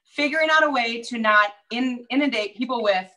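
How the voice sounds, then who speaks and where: Minnesota lady on a video call, bright and a bit high, chatty with lots of ums. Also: brisk, rough recording. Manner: chatty, upbeat, many fillers. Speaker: real estate agent, guest. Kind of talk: casual interview chat.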